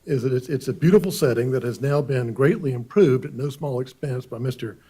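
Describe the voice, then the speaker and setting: A man presenting for an applicant before a county board, hoarse from a cold. His voice is mellow and husky, and he hesitates often, doubling his words. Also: medium pitch. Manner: halting, many repeated words and uhs. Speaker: attorney for applicant. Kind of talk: formal hearing presentation. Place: county board meeting room.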